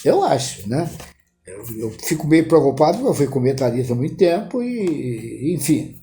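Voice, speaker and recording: An older man with a Brazilian accent hosting a casual talk show, animated, repeating words and trailing off as he remembers. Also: on the high side, normal speed, slight background noise. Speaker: host. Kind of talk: casual reminiscing chat. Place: TV studio.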